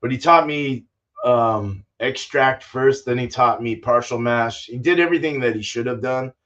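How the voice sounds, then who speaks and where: A man's voice: mid-range voice, normal speed, fairly bright tone. Older man, dull lo-fi livestream sound. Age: older, fifties or sixties. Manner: laid-back, salty-mouthed, says dude and man often. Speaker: livestream host, homebrewer. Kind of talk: casual rambling livestream chat. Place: small indoor home brewing room.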